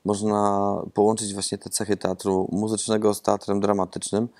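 A man's voice: fairly low pitch, level tone, moderate pace, darkish tone, no room sound. A man in his thirties, a theatre director, giving rambling, thoughtful interview answers with many hesitations and repeated words, seated in an empty theatre auditorium and speaking into a lapel mic.

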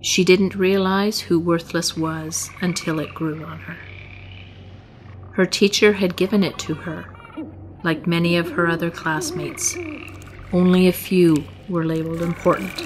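Slow, low-voiced woman narrating. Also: background noise. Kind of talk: scripted story narration for a short film.

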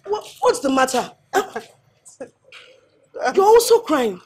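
High-voiced, desperate Nigerian mother. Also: big pitch swings, slow, slight background noise, heavily acted. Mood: worried confusion. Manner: insistent, repeated questioning and pleading. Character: bewildered, desperate mother of a lifeless daughter. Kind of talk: emotional film melodrama acting.

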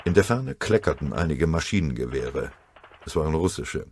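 Deep husky male audiobook narrator. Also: sing-song, normal speed. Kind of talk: dramatic scripted narration of a war novel.

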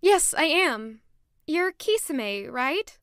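Audio drama noblewoman, twenties, female actor, high-pitched, polite and pensive. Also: sing-song, slow pace, fairly bright tone, heavily acted. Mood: polite, puzzled. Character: wistful, polite young noblewoman aged twenty-four. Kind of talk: audio drama acting with introspective narration.

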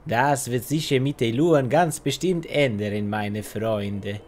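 A man's voice: mid-range voice, slow pace, darkish tone. An actor playing a courteous, scheming foreign male villain who speaks hesitantly in broken grammar, in a parody audio drama. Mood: coaxing.

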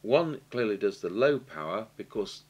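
A British man giving a halting, matter-of-fact technical demonstration, thinking aloud as he works at a workshop bench. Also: lowish voice, animated, dull audio. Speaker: radio repair technician demonstrating. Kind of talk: unscripted technical demonstration commentary. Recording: clear background noise and hum.

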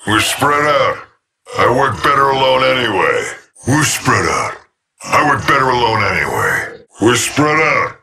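Killer robot for a video game: ominous, predatory loner, hard bright growl, swooping delivery. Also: male voice, medium pitch, very animated, unhurried, gravelly, a little hiss or hum, full character voice. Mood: cold menace. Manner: ominous, contemptuous. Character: menacing lone killer robot, cold and predatory.

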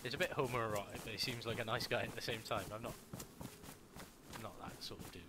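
A man's voice: fairly low pitch, fairly even intonation, quick pace. A man from Wales with a Welsh accent, chatting casually while playing an online video game. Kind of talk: casual chat during online gameplay. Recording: clear background noise and game sounds.

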